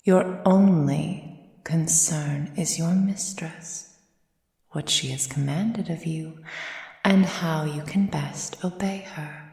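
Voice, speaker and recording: A woman playing a possessive, condescending vampire mistress in an intimate ASMR roleplay, speaking formally and measuredly and often slipping into breathy whispers. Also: low voice, takes it slowly, dark tone, exaggerated voice. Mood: cold, exasperated. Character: possessive, condescending female vampire mistress tending her captive thrall. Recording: echoey room with long reverb.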